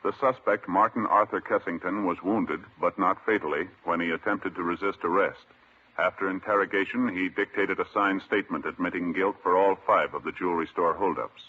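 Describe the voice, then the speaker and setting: Formal American announcer for crime radio drama: authoritative, bright forceful tone, faintly noisy old recording. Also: male voice, sits low, steady tone, brisk, muffled recording. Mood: solemn, formal. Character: solemn, authoritative male announcer reading court results.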